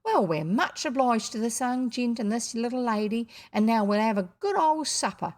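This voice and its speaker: A woman's voice: on the high side, animated, normal speed, darkish tone, natural voice. A woman voicing a boisterous, jovial working-class father in a children's storybook, colloquial and full of exclamations. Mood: hearty, festive. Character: boisterous, hearty working-class father just back home. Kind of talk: children's storybook character reading.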